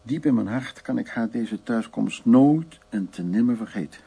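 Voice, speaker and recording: A man's voice: medium pitch, lively intonation, normal speed, creaky, mellow, slightly rough. An actor playing a troubled, wry and anxious man in a radio drama, musing aloud in hesitant, broken phrases. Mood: brooding recollection. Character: troubled man named Louis, recounting past, anxious, wry. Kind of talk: radio drama acting, intimate storytelling.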